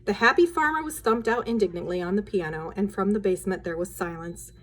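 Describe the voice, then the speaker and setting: A woman reading a children's story aloud in an expressive narrating style, with casual asides. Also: medium pitch, fast, husky.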